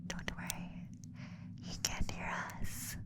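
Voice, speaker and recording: Man playing a gentle male alien examiner, slow whispered delivery, clinical, coddling, playfully condescending, ASMR roleplay. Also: high voice. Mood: soft, clinical, coddling. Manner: clinical yet coddling, unhurried.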